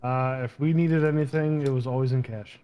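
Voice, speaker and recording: Slightly dull voice-chat audio carries a man acting a captured henchman in an online game. The voice is medium in pitch. The answers are casual and evasive, given flatly as fact without any remorse. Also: brisk, clear, resonant tone, lightly acted. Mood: guarded, matter-of-fact. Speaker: role-player in an online game. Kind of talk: improvised roleplay interrogation.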